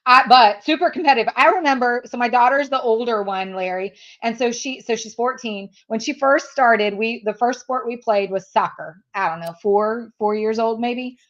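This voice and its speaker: A woman's voice: fairly high pitch, moderate pace, hardly any pauses, fairly bright tone, lo-fi sound. A woman in her fifties, a native German speaker, chatting candidly as a sales trainer guest and laughing often. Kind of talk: casual interview chat.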